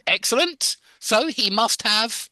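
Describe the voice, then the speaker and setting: Upbeat male tutor with an animated, bright voice, drilling grammar over a video call. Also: sits high, slow, no room sound. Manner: corrects and explains, laughs easily, repeats model sentences. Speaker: English teacher. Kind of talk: live online English lesson.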